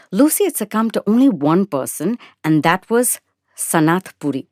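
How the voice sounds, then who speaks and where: Female narrator, lower register, measured dramatic storytelling, dry studio sound. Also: a little mellow, no room sound. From India. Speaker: narrator and actor. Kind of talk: dramatic first-person short story narration.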